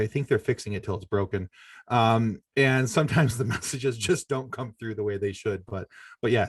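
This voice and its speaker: Middle-aged man, low voice, dry sound. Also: dry recording. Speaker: podcast guest. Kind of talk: casual conversational interview.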